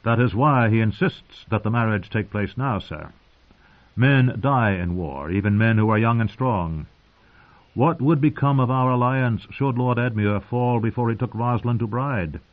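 Casting: smooth-tongued noble envoy acted by a male audiobook reader, formal, insistent, courteous; thin phone-like recording. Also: medium pitch, moderate pace, voice pushed up, lightly acted. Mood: smoothly persuasive. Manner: formal, diplomatic, politely insistent.

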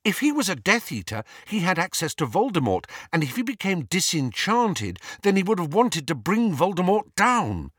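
A man voicing an earnest, excitable teenage witch girl with a breathless, urgent manner in a storybook reading. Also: high-pitched, moderate pace, few pauses, dry recording, studio quality, pitched above the performer's own voice, full character voice. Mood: excited, breathless.

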